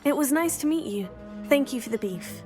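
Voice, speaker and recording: A curious teenage witch, speaking. She is courteous and inquisitive, a student heroine in a fantasy game, with music clearly playing behind her. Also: high-pitched, animated, brisk, darkish tone. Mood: polite. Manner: polite, inquisitive. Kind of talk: video game character acting.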